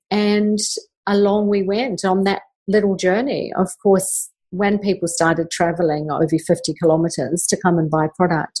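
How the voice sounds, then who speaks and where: A woman's voice: on the low side, darkish tone, husky. A middle-aged woman, telling her own story reflectively as an interview guest. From New Zealand. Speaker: guest, skincare product maker.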